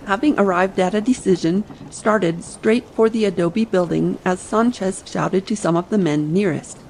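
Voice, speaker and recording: A woman's voice: mid-range voice, a little mellow, slight background noise, low-quality recording. A woman reading scripted audiobook narration as the storyteller. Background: faint ambient sea sound.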